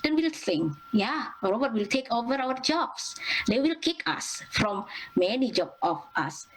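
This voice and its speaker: A young woman from Indonesia with an Indonesian accent, presenting at an academic conference in a halting way, with many fillers and restarts. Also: fairly high pitch, sing-song, slight background noise, low-quality recording. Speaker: student presenter.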